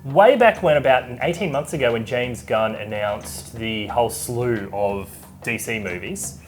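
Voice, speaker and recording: Brief: male co-host with a cold, playful and chatty, mid-pitched. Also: unhurried, faint music behind. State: voice rough from a cold. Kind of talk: casual chat.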